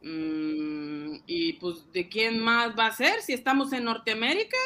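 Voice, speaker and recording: Excitable, high-voiced immigrant banker. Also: male voice, unhurried, full character voice. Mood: nervous. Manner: blustering, stammering when flustered. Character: excitable Latin American immigrant man, forty, eager upstart banker. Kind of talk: comic radio drama acting.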